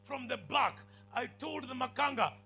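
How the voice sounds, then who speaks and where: Kenyan male preacher, high-pitched and bright, commanding, emphatic call-and-response delivery. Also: lively intonation, normal speed, vocal fry, faint music behind. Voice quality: big commanding voice. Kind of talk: sermon to students.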